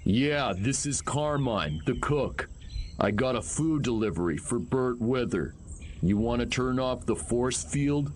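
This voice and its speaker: An actor playing a plainspoken diner cook in a video game, with a casual, folksy way of talking. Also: male voice, mid-range voice, animated, a little slow, natural voice. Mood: casual, musing. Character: plainspoken male diner cook making a delivery. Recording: faint outdoor noise with insects.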